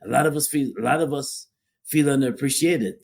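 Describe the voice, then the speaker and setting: A man in an easygoing podcast chat, his voice a little husky and breathy at mid pitch. He works through his points slowly and says the same phrase twice or three times to press it home. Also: a little mellow. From Guyana (family). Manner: repetitive, restarts and repeats phrases for emphasis. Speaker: podcast co-host. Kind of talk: casual opinion talk.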